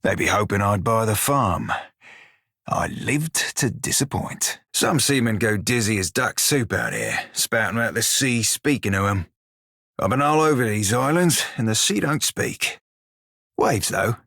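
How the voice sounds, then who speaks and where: A roguish little furry from a fantasy video game, speaks in a husky, lowish voice with a salty drawl. He is cocky, wry and bawdy but loyal, and the recording is dry and close. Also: lively intonation, unhurried, fairly bright tone, dry recording, heavily acted. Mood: wry, plainspoken. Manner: salty, drawling pirate dialect, sly and wry. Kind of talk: video game character dialogue.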